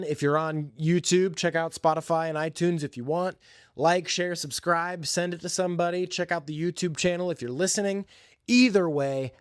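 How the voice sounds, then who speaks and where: A man's voice: a little high, clear, resonant tone, dry recording, studio quality. A man hosting a solo podcast, chatting casually and informally about films and games in slangy, hesitant phrases. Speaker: podcast host.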